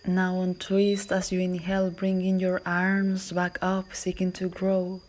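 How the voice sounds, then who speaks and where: A woman yoga instructor guiding a class in a calm, measured, soothing voice that is soft and at times breathy. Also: lowish voice. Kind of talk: guided yoga and relaxation instruction.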